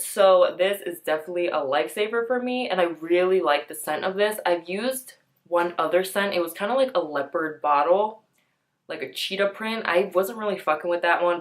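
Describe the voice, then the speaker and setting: A young woman getting over a cold, so her voice comes out a bit nasal and stuffy. She talks to camera in a chatty, easygoing beauty-vlog way, full of "like" and "um". Her pitch sits a little on the low side. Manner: chatty, peppered with "like" and "um". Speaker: beauty vlogger. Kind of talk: casual chat to camera.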